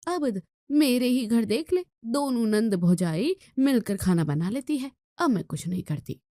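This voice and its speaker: A woman's voice: on the high side, expressive, unhurried, mellow, dry recording, studio quality, pitched above the performer's own voice. A woman voicing a nosy, critical middle-aged neighbour in a moral story, gossipy and needling in manner.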